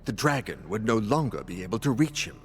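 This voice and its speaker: An actor playing a practical councillor in a fantasy game, speaking in a formal, purposeful way. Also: male voice, on the low side, very animated, fairly quick, soft background music. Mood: questioning. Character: practical male council member directing hunters. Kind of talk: scripted fantasy game cutscene acting.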